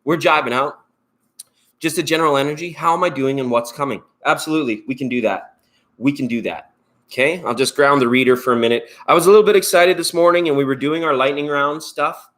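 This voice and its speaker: A man's voice: fairly high pitch, fast talker, fairly bright tone. A Canadian man hosting a live tarot stream, chatting in a rambling, upbeat way and thanking viewers by name. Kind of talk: casual live-stream chat.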